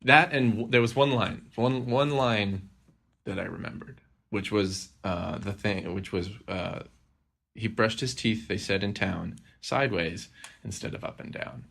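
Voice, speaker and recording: A man with a lowish voice hosts a podcast. He talks casually and rambles, often halting and correcting himself as he goes. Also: gravelly, a bit bright. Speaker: podcast host.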